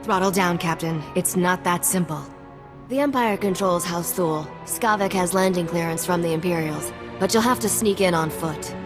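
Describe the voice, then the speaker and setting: An actress playing a shrewd, composed underworld fixer in a video game, wry and businesslike. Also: female voice, fairly low pitch, normal speed, music in the background, natural voice. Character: cool, shrewd female underworld fixer briefing her captain. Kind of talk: video game cutscene acting.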